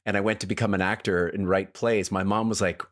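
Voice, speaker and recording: A man's voice: lowish voice, fairly even intonation, fairly quick. Reflective Canadian man hosting. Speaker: podcast host. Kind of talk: casual interview chat.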